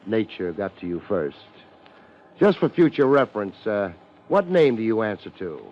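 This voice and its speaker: An actor with an American accent playing a laid-back, sardonic private detective in a 1950s radio drama, deadpan and wisecracking. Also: male voice, on the low side, moderate pace. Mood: needling, deadpan. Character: wry, laid-back private detective narrating his case. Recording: muffled, hissy 1950s radio recording.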